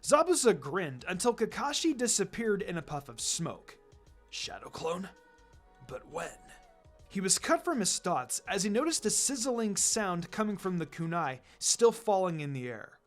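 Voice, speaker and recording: A man narrates an action story with strongly varied, animated intonation. His voice sits a little high for a man. The reading is dramatic and scripted, with music underneath. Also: moderate pace, hardly any pauses. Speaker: narrator, fan fiction reader.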